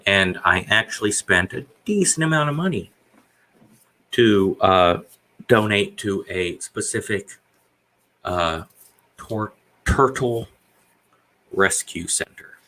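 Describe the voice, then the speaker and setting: Man, forties, deep voice, slow, bright, rambling stream host. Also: expressive. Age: 40s. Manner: rambling, hesitant with many fillers. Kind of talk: casual live-stream chat.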